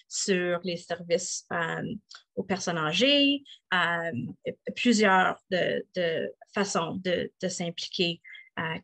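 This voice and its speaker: Slow, halting woman. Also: fairly low pitch, gravelly, a bit bright. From Eastern Ontario, Canada. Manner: halting, many fillers and restarts. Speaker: municipal councillor and guest. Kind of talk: interview answers.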